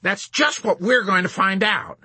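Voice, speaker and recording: A man's voice: high-pitched, pushed and bright, slightly rough, no room sound, voice pushed up, heavily acted. A man doing a sneering, superior army colonel. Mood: taunting. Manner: scornful, taunting, drawn-out sarcasm. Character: sarcastic, superior male army colonel interrogator.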